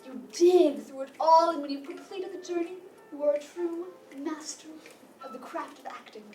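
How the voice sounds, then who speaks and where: A woman's voice: high voice, normal speed, mellow, close to an everyday voice. A woman in a staged play reading playing a pompous older sister who fancies herself a grand stage actress, with dramatic enunciation. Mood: indignant, haughty. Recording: roomy, distant mic, faint noise.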